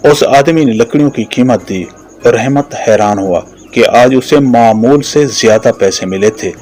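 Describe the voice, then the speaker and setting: A man's voice: mid-range voice, measured pace, darkish tone, soft background music. A man narrating a scripted moral story for a children's cartoon.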